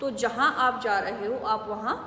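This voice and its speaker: A woman with an Indian accent teaching English phrases, saying the model answers slowly and clearly. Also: fairly high pitch, pushed and bright, slight background noise. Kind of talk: spoken English lesson in Hindi. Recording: echoey room with long reverb.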